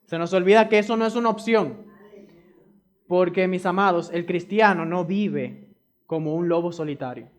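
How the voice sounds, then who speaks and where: Emphatic high-voiced preacher. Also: male voice, normal speed. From Dominican Republic. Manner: emphatic, repeats key phrases; addresses the congregation directly. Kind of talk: sermon.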